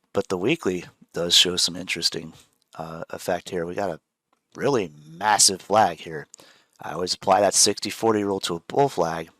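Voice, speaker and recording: A man with a head cold, sniffling a little as he walks through stock charts in a relaxed, rambling way with plenty of fillers. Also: sits low, dry recording. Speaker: show host, trader. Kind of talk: casual chart analysis chat.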